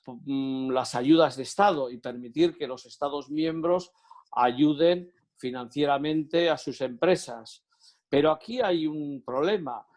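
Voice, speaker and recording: A man moderating a webinar over a video call, speaking in a measured way with frequent fillers. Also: on the high side.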